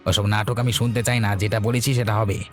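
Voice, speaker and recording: A man's voice: fairly low pitch, flat delivery, fast talker, natural voice. A man playing an arrogant, spiteful village bully in a fairy-tale cartoon, with a menacing, domineering delivery. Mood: threatening, gloating. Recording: background music throughout.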